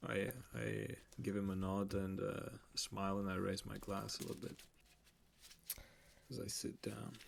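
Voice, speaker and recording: Gravelly, low-voiced male tabletop player. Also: level tone, unhurried, slight background noise. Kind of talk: casual role-play chat.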